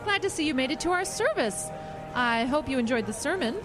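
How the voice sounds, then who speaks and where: A woman's voice: high voice, lively intonation, fairly quick, over music. A woman playing a kindly, practical small-town pastor in a radio drama. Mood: friendly.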